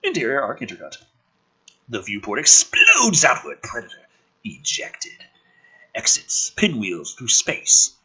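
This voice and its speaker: Husky, wildly animated male script reader. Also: medium pitch, a little slow, low-quality recording. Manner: theatrical, emphatic, sarcastic. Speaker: host reading a film script aloud. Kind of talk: dramatic script reading with sardonic asides.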